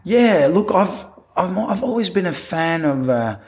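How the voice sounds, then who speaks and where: A man's voice: high voice, very animated, measured pace, darkish tone. A middle-aged man from Quebec with a French accent, a magician talking casually in an interview, rambling with many restarts and fillers. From Quebec, Canada. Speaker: guest, professional magician.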